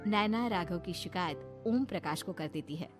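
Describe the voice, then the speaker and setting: A woman's voice: fairly low pitch, normal speed, faint music behind. A woman narrator reading a scripted story.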